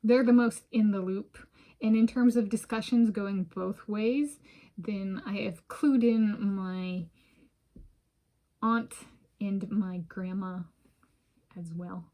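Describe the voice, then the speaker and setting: An American woman in her thirties talking casually in a personal vlog, halting and rambling as she circles back and repeats herself. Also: mid-range voice, steady tone, mellow, poor audio. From United States. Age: 30s. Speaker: vlogger.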